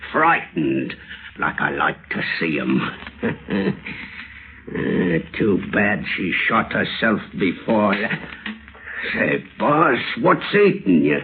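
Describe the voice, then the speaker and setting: A scratchy, tinny vintage radio recording carries a rough crooked drifter. His speech is slangy and uneducated, and his pitch swings widely in the heightened manner of old thriller drama. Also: exaggerated voice. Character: uneducated crooked drifter, boastful drunk turning terrified.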